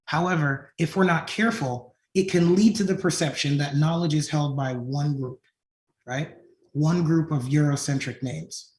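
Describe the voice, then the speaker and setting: A man's voice: fairly high pitch, normal speed. A man presenting his company at a design conference, often ending points with a questioning "right?". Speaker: tech company founder presenting. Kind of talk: conference talk. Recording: roomy echo.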